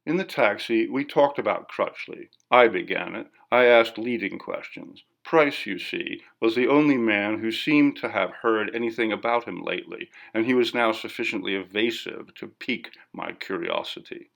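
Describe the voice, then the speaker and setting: A man's voice: lowish voice, moderate pace, vocal fry, few pauses, a bit bright. A man narrating a classic ghost story as a scripted audiobook reading.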